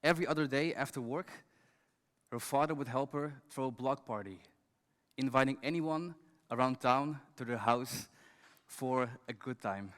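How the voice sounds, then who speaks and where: Man telling a story in a hall. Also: mid-range voice. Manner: measured, scripted storytelling. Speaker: education director and conference speaker. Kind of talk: storytelling talk on stage. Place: large conference hall. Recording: long hall reverb.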